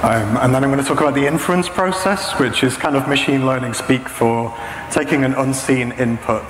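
A man speaks as a conference presenter, explaining technical steps in a measured, halting way. His middle-pitched voice rings through a reverberant hall, with clear background noise. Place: indoor talk stage with projection screen.

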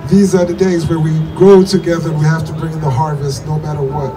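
A man with a high voice preaches earnestly through an outdoor loudspeaker, heard from far off across a square. Music plays behind him. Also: flat delivery. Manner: earnest, exhorting, pauses for interpreter. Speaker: street preacher. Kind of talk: outdoor street preaching in English.

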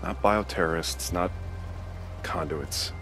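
Low-voiced American cop. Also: male voice, steady tone, slow pace, music in the background. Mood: resolute. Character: principled, protective adult male cop and brother. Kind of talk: video game cutscene acting.

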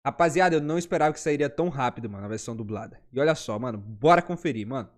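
A man's voice: medium pitch, animated, very fast, soft background music. A Brazilian man in his twenties doing casual, slangy gameplay commentary. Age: 20s. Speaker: gaming YouTuber.

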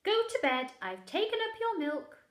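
A woman's voice: extremely high pitch, very animated, normal speed, roomy sound, voice pushed up. A woman voicing a weary, dismissive parent in a children's picture book, exasperated and emphatic. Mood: calling out.

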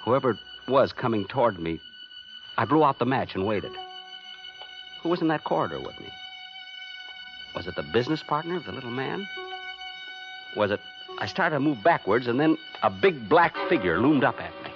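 A man's voice: medium pitch, sing-song, normal speed, long pauses. Frantic man on the run telling his story, old muffled radio drama, big music behind him. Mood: tense, panicked. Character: frantic everyman narrator on the run with stolen money. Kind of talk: 1950s radio thriller acting, first-person narration. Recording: dull old 1951 radio recording, loud music.

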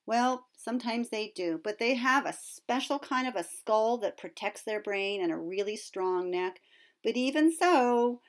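A middle-aged woman presenting as a nature educator, explaining things to young children in simple words with questions to her listeners. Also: on the high side.

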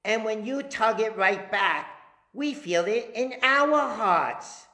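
A man's voice: high voice, slow, pushed and bright, roomy sound, pitched above the performer's own voice, heavily acted. A man voicing anxious, curious young children in a storybook read-aloud.

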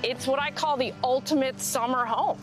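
A woman's voice: high-pitched, fairly even intonation, moderate pace, over music. A middle-aged woman speaking outdoors by a lake, answering interview questions in an enthusiastic, candid way. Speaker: lighthouse owner being interviewed.

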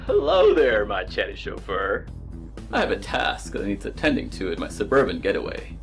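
A suave, self-important seventies client acted by a man in a comedic video game. The voice is lowish and gravelly with big swings, over music. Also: wildly swooping pitch, normal speed, full character voice. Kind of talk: campy comedic game cutscene acting.

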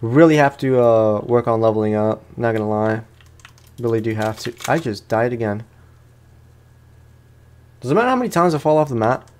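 Male gaming host, casual and slangy, medium-pitched, talking through gameplay at a desk microphone. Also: lively intonation, moderate pace, pauses often, darkish tone, clear, resonant tone. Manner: slangy, talks to himself and to the game enemy. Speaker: gaming host, let's play streamer.

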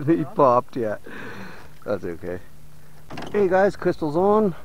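A man vlogging from a small boat on a lake, chatting casually to viewers and getting excited as he fishes. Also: fairly high pitch, extremely slow, muffled recording, a little hiss or hum. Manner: excitable, talks to viewers as "you guys". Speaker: fishing vlogger. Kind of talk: casual on-water fishing commentary.

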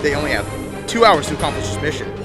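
A man's voice: on the high side, very animated, quick pace. A man narrating a race show recap in a dramatic, announcer-like manner. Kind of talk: scripted narration of a video recap. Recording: voice-over with music behind.

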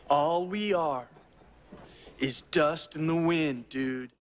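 A man's voice: a little high, expressive, extremely slow. A young man acting in film scenes as an earnest, laid-back youth with a drawling, boyish delivery. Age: young adult. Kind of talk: film dialogue. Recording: film soundtrack with hall reverb and faint noise.

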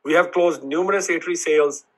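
A middle-aged man with a forceful, bright voice that sits a touch high for a man. He delivers a scripted lesson on selling a business, taking each list item in turn in a measured, formal way. He is recorded on a desk microphone in a book-lined home office. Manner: reads steadily through lists, formal and instructive. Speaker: business broker. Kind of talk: scripted explainer video. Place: home office with bookshelves, indoors.

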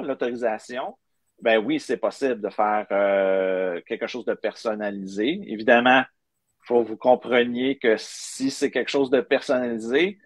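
A man's voice: mid-range voice, dry recording. A middle-aged man with a Quebec French accent, explaining things informally as a guest in a live video-call interview. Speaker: guest, maker of digital book bookmarks. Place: indoor room, on a video call. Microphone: webcam video call.

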